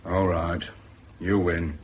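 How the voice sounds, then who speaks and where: An actor playing a blunt, indignant, combative businessman in a radio play, with a rough, husky voice. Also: low voice, little pitch movement, slow, slight background noise. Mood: grudging. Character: blustering, combative businessman, a beaten bidder. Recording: dull vintage radio recording.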